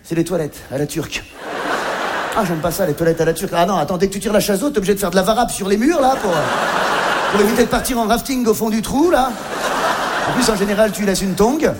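A male stand-up comedian tells stories in a high-pitched voice. He is chatty and mock-earnest, cutting himself off often, and there is noticeable noise behind him. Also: fast talker. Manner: conversational, mock-earnest, with self-interruptions. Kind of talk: comic storytelling monologue. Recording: clear background noise.

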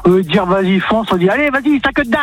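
A man's voice: sits high, expressive, racing, husky, soft background music. A man from northern France explaining a local expression on a radio show, a little hesitant.

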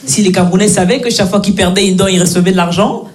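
Quick, cheeky young woman comic from Belgium. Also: medium pitch, darkish tone. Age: 20s. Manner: cheeky, punchy storytelling. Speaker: stand-up comedian. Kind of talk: stand-up routine. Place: comedy stage with audience. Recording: live stage with crowd. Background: audience crowd.

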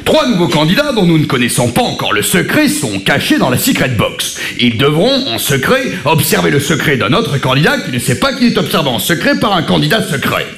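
A man playing a mock reality-show announcer with a smoky, gravelly voice and a deadpan, solemn delivery. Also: a little high, brisk, hardly any pauses, heavily acted. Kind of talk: comedy sketch. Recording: echoey room with loud ambient noise.

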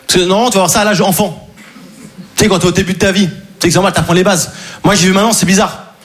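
A man in his twenties doing stand-up, halting and self-mocking with many fillers. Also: high-pitched, fairly even intonation. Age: 20s. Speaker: stand-up comedian. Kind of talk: stand-up comedy set. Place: comedy club stage before a live audience.

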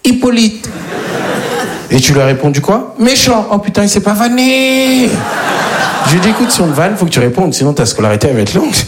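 Fast, loud stand-up comedian man. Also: sits high, big pitch swings, noisy background. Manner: fast, loud storytelling with acted-out dialogue. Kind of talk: stand-up routine.

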